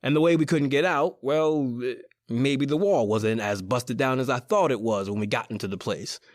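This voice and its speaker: A man's voice: medium pitch, normal speed, no room sound, clean studio sound, close to an everyday voice. An actor playing a tough, impatient crook who tells his horror tale in slangy, colloquial old-time radio style. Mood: wry, rationalizing. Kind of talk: old-time radio horror acting with first-person narration.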